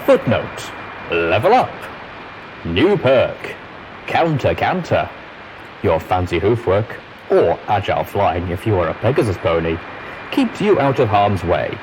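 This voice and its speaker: A man's voice: lowish voice, big pitch swings, measured pace, noisy background. A man playing a game-style announcer who reads out footnotes in a clipped, emphatic way. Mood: announcing.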